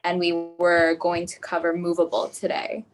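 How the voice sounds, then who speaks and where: A woman's voice: fairly low pitch, completely flat delivery, normal speed, soft background music, low-quality recording. A woman student on a video call, answering hesitantly with a self-conscious manner.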